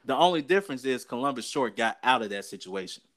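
A man's voice: mid-range voice, lively intonation, fast, fairly bright tone. An American man co-hosting a casual sports talk show, giving opinions in an emphatic, colloquial way and repeating phrases for effect.

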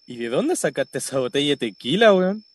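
A man's voice: on the high side, big pitch swings, a little fast. An actor playing a despondent, stubborn young man with a slangy Chilean accent in a radio drama. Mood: dejected. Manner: colloquial, slangy. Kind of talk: naturalistic radio drama acting.

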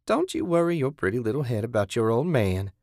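A man's voice: mid-range voice, sing-song, a little fast, a little mellow, dry recording, pitched above the performer's own voice. A man performs a cruel woman from a horror audiobook, the voice lifted well up. She is folksy and self-righteous, sneering as she lectures with syrupy condescension. The sound is dry and close. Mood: taunting.

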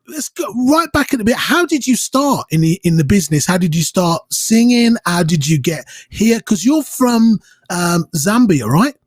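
A man hosting an interview, talking in halting starts and restarts with plenty of enthusiasm. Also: sits high, sing-song, husky, dry recording. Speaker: radio interviewer, host. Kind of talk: casual interview.

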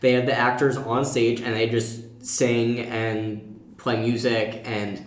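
A man co-hosts a casual podcast discussion in an echoey room. His voice is of middle pitch for a man. He lays out his points conversationally, with examples. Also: flat delivery, slow pace. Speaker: podcast co-host.

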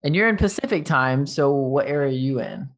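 A man's voice: medium pitch, expressive, fairly quick. A man from Texas with a light Texan accent, hosting a casual interview and rambling easily with fillers and slang. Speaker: podcast host.

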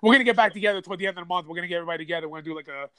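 Fast-talking New podcast host with a cold. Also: male voice, high-pitched, clear, resonant tone, dry recording. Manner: excitable, slangy, says "bro" a lot. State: sniffly from a cold. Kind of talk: casual sports podcast chat. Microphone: podcast microphone.

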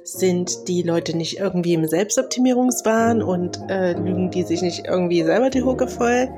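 A woman hosting her own podcast while she has a cold, talking loosely with many fillers, restarts and throat-clearing. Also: fairly low pitch, moderate pace, over music. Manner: clears throat now and then. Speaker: podcast host and mentor. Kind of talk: solo podcast talk.

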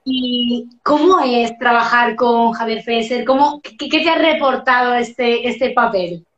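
A woman's voice: on the high side, unhurried, fairly bright tone. A woman interviewing a guest in a casual, friendly way, with hesitant restarts as she asks her questions. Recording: roomy and dull sound.

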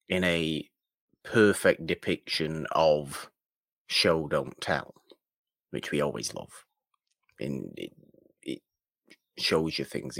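A man co-hosting a film podcast, chatting casually in a halting, rambling way with emphatic repetition. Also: rumbling low, gravelly, a bit bright, no room sound.

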